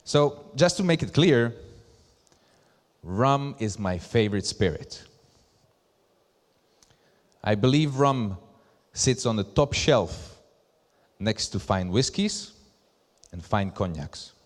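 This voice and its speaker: Slovak guy presenting at a conference, lowish voice, big echoey hall, talks in short deliberate chunks. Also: animated. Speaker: presenter, brand ambassador. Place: large hall at a live event. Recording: echoey hall with long reverb.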